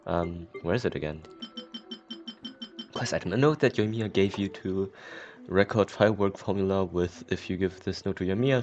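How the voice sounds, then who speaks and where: A man with a low voice commentates casually on a video game. He is hesitant and thinks aloud, while faint game music plays in the background. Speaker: gamer commentating on playthrough.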